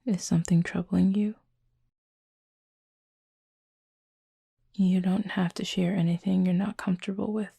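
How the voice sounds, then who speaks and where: Woman as breathy, creaky-voiced, courteous cupid roommate. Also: mid-range voice, little pitch movement, no room sound, clean studio sound. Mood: gently concerned. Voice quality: soft, breathy, husky. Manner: polite, formal, measured, earnest. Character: gentle, formal, curious supernatural cupid roommate. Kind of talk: intimate roleplay audio acting.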